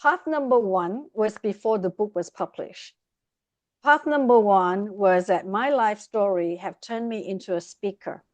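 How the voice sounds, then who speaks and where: Podcast guest: middle-aged woman, expressive storyteller, dry close sound. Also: medium pitch, unhurried, no room sound. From Vietnam. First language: Vietnamese. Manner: lively, expressive, speeds up in bursts. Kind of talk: casual interview storytelling.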